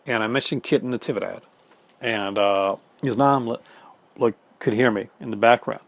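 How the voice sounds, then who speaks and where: A Canadian man talking fast and casually to the camera at home, with many fillers and restarts. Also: mid-range voice, creaky. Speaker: film collector YouTuber. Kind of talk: casual chat reading viewer comments. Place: home room in front of film shelves.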